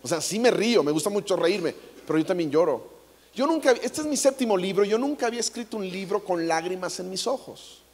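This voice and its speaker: A man's voice: sits high, lively intonation, brisk, breathy edge. A man in his thirties with a Mexican accent, preaching on a large theater stage into a handheld mic in a joking, playful way. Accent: Mexican Spanish. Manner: playful, jokey, acts out quotes. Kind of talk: comedic stage sermon. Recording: long hall reverb.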